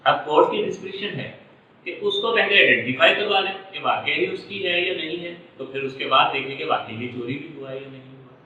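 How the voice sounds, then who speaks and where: A man with a Pakistani accent and a very bright, forceful voice gives an explanatory lecture, going over each point step by step. He sounds set back from the microphone in a strongly echoing room. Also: on the high side, lively intonation, a little fast. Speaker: law lecturer. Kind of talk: explanatory lecture with worked examples. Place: echoey indoor room. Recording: echoey room, distant mic, muffled, faint noise.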